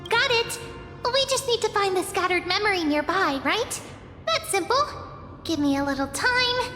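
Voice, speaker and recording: Tiny magical game sidekick: very high, bouncy intonation, perky and helpful, music underneath. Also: female voice, animated, normal speed, pushed and bright, exaggerated voice. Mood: eager, cheerful. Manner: perky, cute. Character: tiny cheerful magical companion creature, eager and helpful. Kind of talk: game voice acting. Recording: echoey reverb, music behind.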